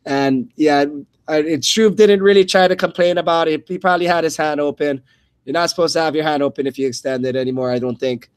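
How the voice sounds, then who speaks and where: A man with a notably high voice calls a fight live as a radio play-by-play commentator. He speaks in short, halting bursts, often repeating a phrase as he follows the action. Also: clean tone.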